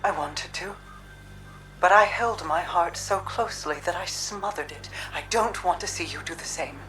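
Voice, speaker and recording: Woman acting a low-voiced, solemn regal sage. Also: over music, close to an everyday voice, poor audio. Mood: gentle sorrow. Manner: measured, formal, poetic. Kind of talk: dramatic video game cutscene.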